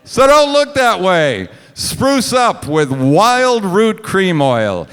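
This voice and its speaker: Slow, theatrical male radio announcer, hall reverb. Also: sits high, big pitch swings, fairly bright tone, clear, resonant tone. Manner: theatrical, deliberate, emphatic. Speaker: radio play director and announcer. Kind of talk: 1940s radio announcing, scripted ad reads. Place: stage of a large hall. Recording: echoey stage hall, music behind.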